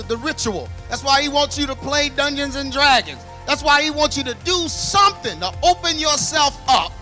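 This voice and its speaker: Bright, high-pitched man preaching. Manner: preaching with call-and-response questions. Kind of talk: sermon.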